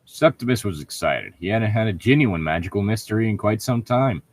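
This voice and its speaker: A man's voice: fairly low pitch, animated, a little fast, no room sound. A man reading fan fiction aloud as a podcast host and riffing on it casually, in an exasperated, sarcastic and often profane manner. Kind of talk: casual riffing between lines read aloud.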